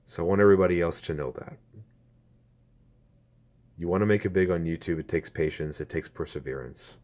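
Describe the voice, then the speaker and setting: A man in his twenties talks to his viewers through a phone camera, earnest and halting, with a strained throat. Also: sits low, darkish tone. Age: 20s. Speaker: YouTuber. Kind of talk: casual talk to camera.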